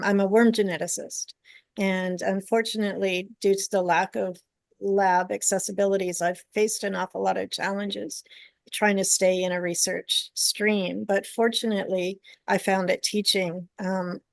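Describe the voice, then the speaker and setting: An older woman from Canada speaks as a panelist, earnest and emphatic. Her voice has a gravelly edge and sits in a middle pitch. The sound is dry and close. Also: little pitch movement, a little slow, no room sound. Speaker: panelist, genetics teacher. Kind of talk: panel discussion remarks.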